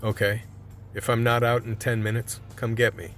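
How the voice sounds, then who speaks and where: An actor playing a world-weary undercover vice cop, telling his story in a measured, hard-boiled way for a radio play. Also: medium pitch, little pitch movement, a bit bright, soft background music, natural voice. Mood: brisk, determined. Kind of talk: scripted radio play narration.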